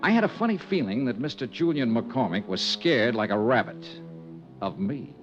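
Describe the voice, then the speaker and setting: A man's voice: mid-range voice, lively intonation, moderate pace, natural voice. A wry, hard-boiled insurance investigator, voiced by a male actor narrating and talking in a dry, clipped way in an old radio drama. His voice moves up and down a lot. The sound is dull and muffled, with faint music behind. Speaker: narrator and lead.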